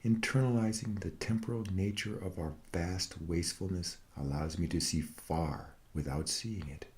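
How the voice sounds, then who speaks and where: A man with an extremely low voice recites a scripted monologue. He is solemn and deliberate, with a wry, mock-serene delivery, as if reading out a meditation. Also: darkish tone. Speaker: reader of own satirical prose piece.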